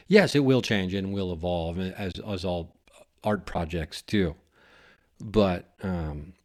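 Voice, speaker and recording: A man hosting a podcast in a casual, reflective monologue, musing haltingly and stretching out phrases for emphasis. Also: deep voice. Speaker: podcast host and stand-up comedian.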